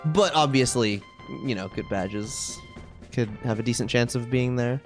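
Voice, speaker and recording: A video game streamer chatting casually with viewers on a live stream, rambling and thinking out loud. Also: mid-range voice, a little slow, soft background music.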